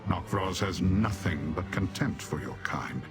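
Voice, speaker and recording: An actor playing a cold, haughty demon warrior in a video game, speaking formally with measured, deadpan condescension. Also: male voice, sits low, over music. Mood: disdainful. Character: haughty male Dremora demon warrior commander, coldly disdainful of mortals. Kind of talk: video game character dialogue.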